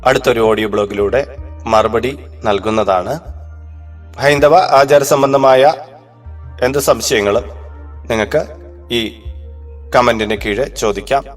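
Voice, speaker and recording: Male, medium pitch, formal, didactic, measured religious narration, scripted audio blog host, soft background music.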